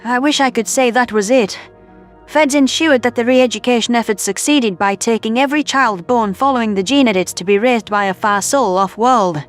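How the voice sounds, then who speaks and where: An earnest female scientist, acted by a woman in a sci-fi audio drama. Her voice is a bit high, her manner sober and explanatory, over faint music. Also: moderate pace, natural voice. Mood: sober, sympathetic. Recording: music bed under voice.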